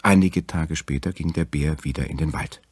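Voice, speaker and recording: A man with a very deep, gravelly voice narrating a fairy tale as a storyteller. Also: normal speed, dry recording.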